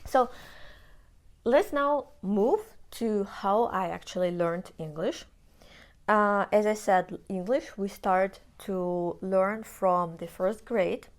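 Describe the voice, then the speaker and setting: A woman's voice: mid-range voice, slow. Chatty Russian-accented young woman. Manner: chatty, explanatory, with frequent restarts. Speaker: vlogger. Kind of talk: casual personal talk to camera.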